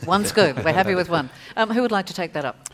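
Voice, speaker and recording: Woman, moderator, brisk, polite, upbeat. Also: lowish voice, animated. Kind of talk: moderating a panel.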